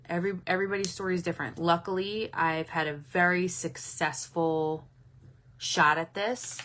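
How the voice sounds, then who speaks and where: A woman in her late thirties talks openly to her livestream viewers, chatting and answering their comments as they come in. Her voice is bright and forceful, sitting somewhat low for a woman. She is frank and swears now and then. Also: a little hiss or hum. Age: 30s. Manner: candid and chatty, swears freely, warm to commenters. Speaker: livestream host. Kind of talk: casual livestream chat reading viewer comments.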